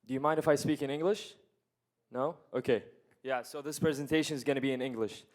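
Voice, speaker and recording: A man's voice: medium pitch, fairly quick, darkish tone. A man in his early twenties giving a casual, hesitant student presentation at a conference, with many fillers and restarts. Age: 20s. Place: conference hall.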